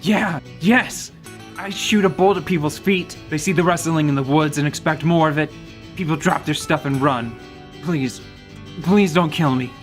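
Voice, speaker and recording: A man's voice: fairly high pitch, moderate pace, soft background music, close to an everyday voice. A man doing a panicked, pleading bandit leader. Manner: frantic, stammering and yelling. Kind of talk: radio drama acting.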